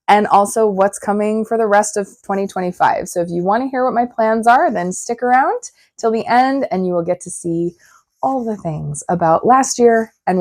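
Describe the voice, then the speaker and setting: A woman hosting her own crafting channel, chatting casually to camera while her voice is still hoarse and a bit froggy. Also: medium pitch, darkish tone, no echo, clean studio sound. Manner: chatty and upbeat. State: hoarse, recovering voice. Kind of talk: casual vlog talk to camera.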